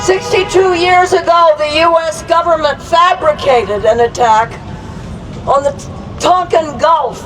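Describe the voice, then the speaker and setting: High-pitched American woman giving a protest speech. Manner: emphatic, deliberate oratory with repeated phrases. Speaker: anti-war rally speaker. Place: outdoors at a public rally. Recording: outdoor rally, distant, crowd and street noise.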